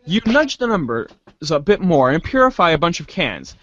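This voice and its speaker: A man's voice: on the high side, big pitch swings, moderate pace, a bit bright, dry recording, muffled recording. A man giving a theatrical dramatic reading of scripted narration, now and then stumbling and rereading words. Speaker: voice reader in a webcomic reader's theater.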